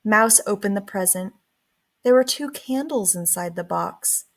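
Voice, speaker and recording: A woman narrates a children's storybook at a slow pace, with a medium-pitched voice. Her reading is deliberate and expressive. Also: gravelly. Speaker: storybook reader.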